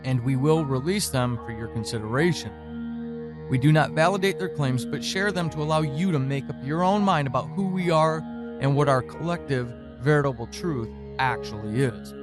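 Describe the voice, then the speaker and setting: A man's voice: medium pitch, moderate pace, music in the background. A man reading a scripted podcast introduction and announcement as the show's creator.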